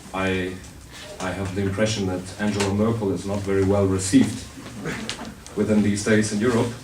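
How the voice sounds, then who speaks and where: Low-voiced man, sore throat, soft but blunt, lecturing a crowd, roomy far-off hall sound. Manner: blunt, wry, with frequent restarts. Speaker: speaker at a public debate. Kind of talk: informal speech to an audience. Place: hall with an audience. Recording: roomy, distant hall recording with faint noise.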